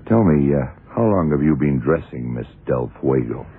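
A very deep-voiced, dry male detective fires clipped, probing questions. The voice is husky and unflappable, in the manner of a seventies radio thriller. It comes through thin and tinny, like an old broadcast. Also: lively intonation, normal speed, heavily acted. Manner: clipped, matter-of-fact questioning. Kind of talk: 1970s radio crime drama acting. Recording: tinny, band-limited old radio recording.

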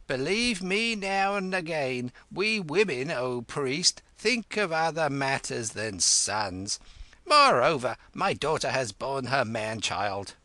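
A man voicing a proud, sharp-tongued old widowed queen who scolds and cackles. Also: medium pitch, sing-song, takes it slowly, dry recording, voice pushed up, exaggerated voice. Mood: teasing.

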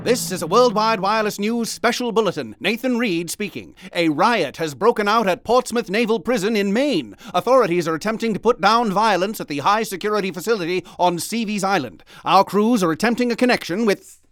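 High, dry-voiced formal radio anchor. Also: male voice, expressive, fairly bright tone, dry recording. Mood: formal, probing. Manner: formal, measured, courteous. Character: composed 1930s radio news bulletin anchor. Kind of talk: old-time radio news announcing, acted.

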